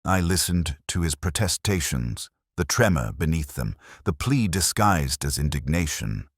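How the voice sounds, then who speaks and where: A man playing a melancholic, introspective middle-aged police inspector, narrating a detective drama in a measured, literary manner. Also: very deep voice, very animated, breathy edge, no echo, clean studio sound, exaggerated voice. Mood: brooding, reflective. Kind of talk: scripted first-person detective drama narration.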